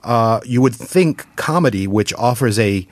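A man hosting an interview, asking measured, articulate questions. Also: mid-range voice, lively intonation, no echo. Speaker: interview host.

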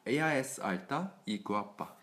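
Female student, very deep voice with a lively pitch, careful repetition. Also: slow. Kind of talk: repeating drill phrases.

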